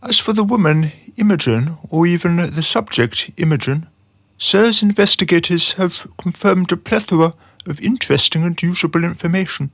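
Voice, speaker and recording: A man's voice: high voice, moderate pace, a bit bright, no room sound. Guy doing a timid young trainee lad in a radio play, light choirboy voice, very polite to his bosses. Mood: nervous, formal. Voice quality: boyish, light voice. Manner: deferential, earnest, hesitant. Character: meek, earnest young male trainee called Boy. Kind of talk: radio drama acting.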